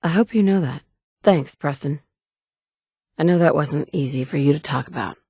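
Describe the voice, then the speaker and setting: A woman's voice: sits low, normal speed, dark tone, husky, no room sound. An actress in her thirties playing a tough, wry woman survivor in a video game, talking in a blunt, matter-of-fact way from a studio booth. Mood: tender. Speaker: player character. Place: recording booth. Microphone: studio mic.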